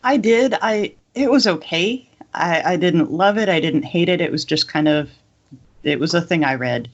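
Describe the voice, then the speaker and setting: A woman's voice: lowish voice, moderate pace, lo-fi sound. A woman chatting casually as a co-host on a podcast. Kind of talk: casual chat.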